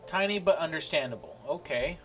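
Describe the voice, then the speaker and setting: Higher-pitched man hosting a casual stream. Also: expressive, fairly quick, a bit bright. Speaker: game master and stream host. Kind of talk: casual chat during a stream sound check. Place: home voice chat without headphones. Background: background music.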